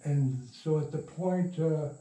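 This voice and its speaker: An older man telling anecdotes casually as an interview guest, in a rambling, halting way, recorded in a roomy space. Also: on the high side, dark tone. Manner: rambling, halting, chuckling raconteur. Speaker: interview guest, radio producer and sound recordist. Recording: roomy echo, distant from mic.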